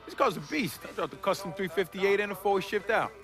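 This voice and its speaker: An actor playing a cocky, crude young gearhead crook, bantering in a brash, joking way in a video game cutscene. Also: male voice, high voice, quick pace, over music.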